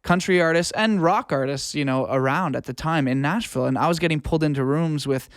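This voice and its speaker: Clear-voiced young man, close and dry. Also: on the high side, a little fast, no room sound. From Canada. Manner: earnest, informal storytelling with "like" and "you know". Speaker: podcast guest, music producer and audio engineer. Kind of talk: casual conversational interview.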